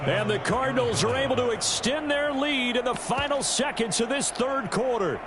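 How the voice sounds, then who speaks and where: An actor playing a brisk, upbeat football play-by-play announcer in a video game, with an American accent and clipped, punchy delivery. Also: male voice, very high-pitched. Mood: excited. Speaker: play-by-play sports commentator. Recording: loud stadium noise behind. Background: stadium ambience of a football video game.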